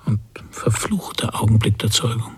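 A man's voice: on the low side, normal speed, breathy edge, in a whisper. A man playing a bitter, guilt-ridden middle-aged father in a radio drama, thinking aloud in terse fragments. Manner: terse, fragmented inner monologue. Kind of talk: German radio drama interior narration.

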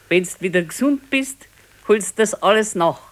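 An actress playing an old grandmother and grumbling, practical wife in a radio play, speaking tersely and dryly with a Bavarian accent. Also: lowish voice. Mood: coaxing. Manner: terse, matter-of-fact, dryly contradicting. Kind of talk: naturalistic radio play dialogue.

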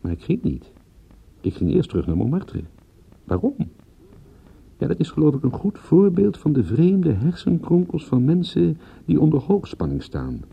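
Husky, gravelly private detective. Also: male voice, a little high, huge pitch swings, moderate pace, heavily acted. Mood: wry, agitated. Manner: musing aloud, self-questioning. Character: male private detective narrating a murder case, brooding, wry. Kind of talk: radio drama first-person narration. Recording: muffled lo-fi old radio recording.

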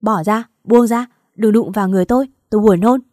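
A woman's voice: mid-range voice, lively intonation, fairly quick, dry recording, close to an everyday voice. A woman voicing a betrayed young wife who screams furious accusations at her husband and friend in a dry, close recording. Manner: shouting, raging accusations.

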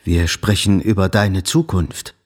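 A man in a radio drama playing a stern, proper old father who speaks formally, in a husky voice. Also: low voice, steady tone, a little slow.

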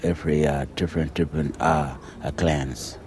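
Very deep-voiced hesitant man. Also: fairly even intonation, background noise. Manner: hesitant, many pauses and fillers. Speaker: interviewee. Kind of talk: halting interview answers in English.

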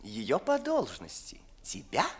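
A man playing an obsequious, sly young secretary, soft-spoken and ingratiating, in a 1950s radio play. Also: medium pitch, wildly swooping pitch, takes it slowly, breathy edge, exaggerated voice. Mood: wheedling, amorous. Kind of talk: 1950s theatrical radio drama in verse.

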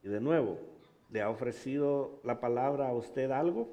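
A man from Honduras preaching in Spanish with a Honduran accent, pausing for an interpreter and telling stories with animated humor. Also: mid-range voice, muffled recording. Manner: animated storytelling, laughs at himself. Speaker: pastor. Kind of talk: bilingual sermon with interpreter.

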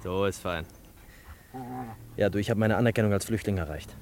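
Actor as an earnest low-voiced young refugee man. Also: a little fast, darkish tone, a little hiss or hum, close to an everyday voice. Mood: hopeful. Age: young adult. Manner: hesitant, urgent pleading. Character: earnest young refugee engineer pleading with his sweetheart. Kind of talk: radio drama acting.

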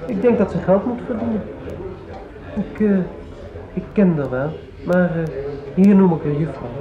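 A dull, old radio play recording of an earnest young son and lover. His voice sits fairly high for a man, and he speaks haltingly but insistently. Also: expressive, crowd noise. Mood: guarded, casual. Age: young adult. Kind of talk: naturalistic radio drama acting.

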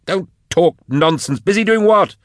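Man playing a frantic young companion, pitched high, lively, dry recording. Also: moderate pace, no room sound. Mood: urgent.

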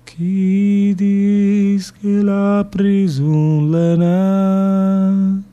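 A defiant prisoner in a radio play, with a northern Italian accent. His voice sits a little high for a man. He delivers sing-song dialect verse at a very slow, drawn-out pace. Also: little pitch movement, warm tone, muffled recording. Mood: wistful, defiant.

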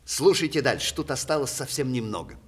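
Husky, animated, ironic older gentleman playwright. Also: medium pitch, fairly quick. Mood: ironic, mannered. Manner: ironic, theatrical, expressive delivery. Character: witty, teasing aging male playwright, theatrically courtly and ironic. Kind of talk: 1960s Soviet stage radio play acting.

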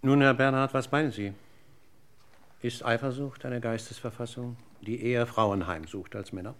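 An actor playing a courteous, verbose police inspector in a radio crime drama, speaking formally and in a roundabout, deliberate way. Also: male voice, mid-range voice, fairly even intonation, a bit bright, lightly acted. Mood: probing, polite.

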